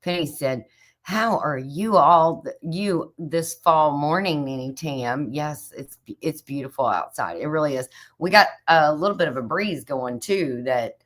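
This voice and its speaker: A middle-aged woman, a little raspy this morning, chatting casually as a livestream host and greeting viewers by name. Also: sits low, animated, unhurried. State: slightly raspy from a chill.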